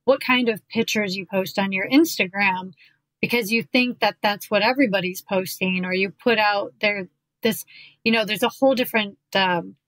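A woman's voice: mid-range voice, normal speed, a bit bright, no echo, poor audio. A woman hosting a podcast, backing her guest with short replies and asking long, rambling questions. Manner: supportive listener, rambling questions with restarts. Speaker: podcast host. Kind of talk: podcast interview.

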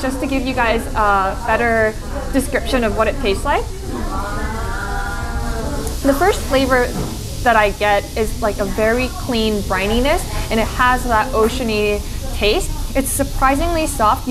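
A woman's voice: fairly high pitch, rough recording. A young woman in her twenties, a food vlogger chatting to the camera as she tastes seafood in a restaurant, describing each bite and gushing over it. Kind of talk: casual food vlog narration. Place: seafood restaurant by a window, indoors. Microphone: camera held close, selfie framing.

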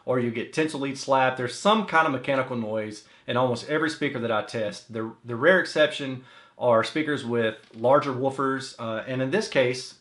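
A man's voice: mid-range voice, normal speed, a bit bright. American man explaining in an echoey room. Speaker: audio reviewer and host. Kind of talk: explanatory product review to camera. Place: small indoor home office, seated. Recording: roomy echo of a small room.

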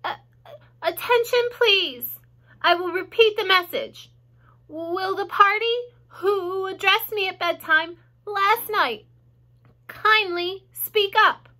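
Woman plays young male pig for a storybook: eager, polite, excitable, piercingly bright and high. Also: normal speed, heavily acted. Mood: loud, determined. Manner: formal, pleading politeness.

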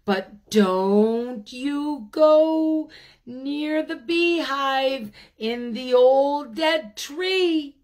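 Woman voicing a high-pitched, fussing storybook bear wife. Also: darkish tone. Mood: cautioning. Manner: coaxing, cautioning, drawn-out endearments. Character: mother-hen bear wife, sweetly bossy, storybook.

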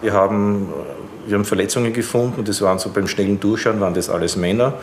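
A man's voice: on the low side, fairly even intonation, fast talker, a little hiss or hum. A man speaking spontaneously as an interviewed forensic expert. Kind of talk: unscripted interview.

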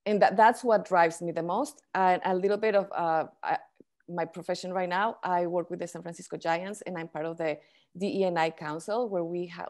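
A middle-aged woman giving a halting, earnest introduction as a webinar panelist over a video call. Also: fairly low pitch, a little mellow. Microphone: earphone mic on video call.

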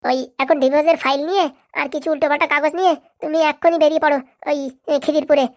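Woman acting bossy, high-voiced cartoon schemer. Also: steady tone, racing, no room sound. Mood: commanding. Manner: commanding, brash, colloquial. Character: bossy, boastful, scheming woman power broker.

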